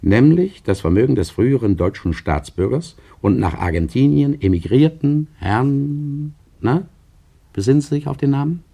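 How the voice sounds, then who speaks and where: An actor playing an insistent, condescending interrogator in a German radio play, with a deliberate, insinuating manner. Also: male voice, mid-range voice, animated, gravelly, warm tone, breathy edge. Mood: probing. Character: insistent, condescending male interrogator, probing and soothing by turns.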